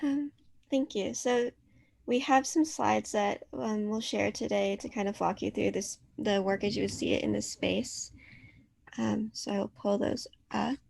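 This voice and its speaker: A woman's voice: medium pitch, fairly even intonation, a little slow, creaky, darkish tone, no room sound. A woman curator presenting a virtual artist talk from her apartment, polite and full of ums. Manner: polite, filler-heavy presenting.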